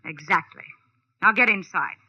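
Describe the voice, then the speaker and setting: An actress playing a young woman in a 1940s radio crime drama, curt and deliberate in a dramatic way. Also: medium pitch, natural voice. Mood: cold, threatening. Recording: muffled vintage radio recording.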